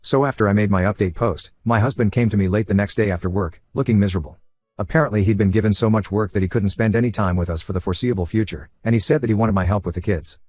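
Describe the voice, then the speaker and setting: Fast-reading man with a low voice. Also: a little mellow, no room sound. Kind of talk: scripted narration of an online first-person story. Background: faint background music.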